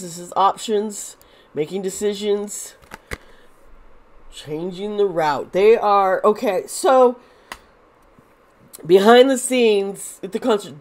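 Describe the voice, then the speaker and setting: Slow, congested woman reading tarot. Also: medium pitch, darkish tone. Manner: rambling with many restarts and fillers. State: congested, losing her voice. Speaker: tarot reader. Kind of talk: casual tarot reading to camera.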